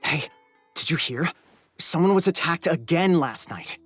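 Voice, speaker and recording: A man's voice: a little high, lively intonation, measured pace, a bit bright, soft background music. An actor playing an uneasy, gossiping townsman in a video game. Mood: hushed gossip.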